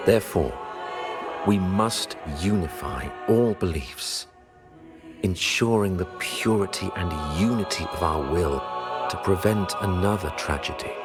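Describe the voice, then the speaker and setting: An actor playing a grave, commanding religious leader in a video game, speaking in formal, zealous oratory. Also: male voice, low voice, a little slow. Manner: solemn, oratorical, formal diction. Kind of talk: dramatic video game voice acting. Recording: loud orchestral music behind. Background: loud game music.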